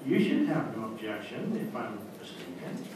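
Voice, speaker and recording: An actor playing a probing, reasoning doctor in an old-time radio drama, formal and deliberate in his explanations. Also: on the low side, animated, natural voice. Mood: suspicious, firm. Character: probing, reasoning male doctor, calmly accusatory. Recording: very echoey hall, distant mic, clear noise.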